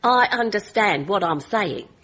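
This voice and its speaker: A woman's voice: medium pitch, lively intonation, a little slow, a bit bright. An actress playing a firm, unshakeable middle-aged woman from New Zealand, giving plain, emphatic testimony in a radio drama. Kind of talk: radio courtroom drama acting.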